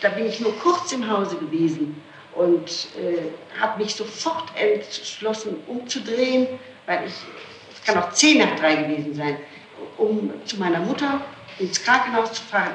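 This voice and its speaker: A woman's voice: mid-range voice, animated, normal speed. A woman answering questions as a suspect in a formal interrogation, emphatic and defensive. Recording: distant, noisy, echoey archival interrogation tape.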